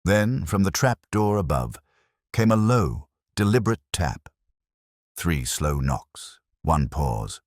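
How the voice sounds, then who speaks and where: A man narrating a scripted detective story in a measured, atmospheric storytelling manner. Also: low voice, big pitch swings, darkish tone, no echo, studio quality.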